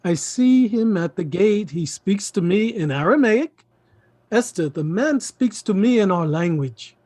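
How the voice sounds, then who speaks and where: A man's voice: high-pitched, animated, unhurried, a little mellow, dry recording. A man acting in a play reading as a middle-aged uncle, pleading and repeating himself emphatically. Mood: coaxing. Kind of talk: theatrical stage play reading.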